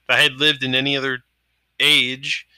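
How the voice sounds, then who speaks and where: A man's voice: medium pitch, level tone, moderate pace, sharp tone, clear, resonant tone, dry recording. A man in his thirties in casual chat, hesitant and profane, full of fillers and restarts. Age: 30s.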